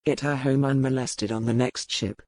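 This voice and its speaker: A man's voice: mid-range voice, fairly even intonation, moderate pace, darkish tone, dry recording, clean studio sound, close to an everyday voice. Man acting a curt, stubborn sea captain. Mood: curt, insistent. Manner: terse, formal, dogged. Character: curt, stubborn ship's captain, guarded and impatient. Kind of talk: dramatised audiobook dialogue.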